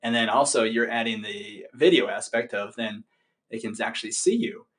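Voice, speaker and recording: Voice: mid-range voice, normal speed. An interviewer chatting with a longtime friend, asking friendly questions with a playful touch. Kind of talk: casual interview conversation.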